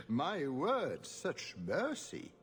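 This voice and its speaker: An actor voicing a suave, amused, slyly menacing aristocratic duke in a video game, with theatrical, condescending formality. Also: male voice, high voice, huge pitch swings, extremely slow, heavily acted. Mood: mocking amusement.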